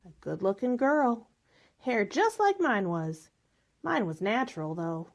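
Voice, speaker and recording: A woman's voice: mid-range voice, very animated, a little slow. A woman acting in a radio drama as a lonely, faded spinster who likes her wine, wistful, bitter and self-pitying.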